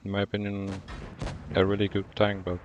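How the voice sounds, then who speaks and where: A man from Poland with a Polish accent, streaming a game and chatting with viewers in a relaxed way, often pausing to think. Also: lowish voice, lo-fi sound, a little hiss or hum. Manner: relaxed, thinking aloud with fillers. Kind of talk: gameplay commentary and chat replies.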